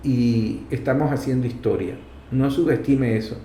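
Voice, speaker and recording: A man's voice: medium pitch, normal speed, dark tone. A Venezuelan man hosting a political commentary program, speaking in an emphatic, didactic way and repeating key phrases. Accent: Venezuelan Spanish. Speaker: radio program host and commentator. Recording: roomy echo, faint background noise.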